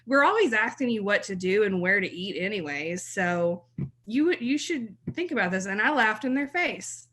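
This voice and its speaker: A woman's voice: medium pitch, animated, normal speed, a bit bright. A woman in her thirties from South Mississippi, a blog writer talking as a podcast guest in a chatty way and laughing easily. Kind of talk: podcast interview.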